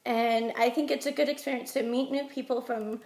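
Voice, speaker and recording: A young American woman from Utah giving chatty, halting answers as a job applicant in an interview, while getting over a head cold. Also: fairly high pitch, fairly even intonation, darkish tone. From Utah, United States. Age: young adult. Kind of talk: informal phone interview answers. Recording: roomy echo.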